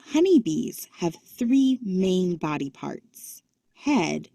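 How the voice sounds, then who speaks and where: A woman with a lowish, soft-edged mellow voice narrates an educational children's book. She reads very slowly and carefully, with lively rises and falls, in a dry recording. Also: vocal fry, no echo.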